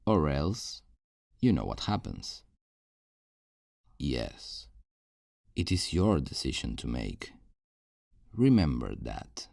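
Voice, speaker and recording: A man's voice: a bass voice, sing-song, vocal fry, darkish tone, dry recording, clean studio sound, full character voice. A man playing a possessive, falsely tender kidnapper in an intimate ASMR roleplay, coaxing and condescending with veiled menace. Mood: quietly threatening. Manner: slow, coaxing, condescending endearments with veiled threats.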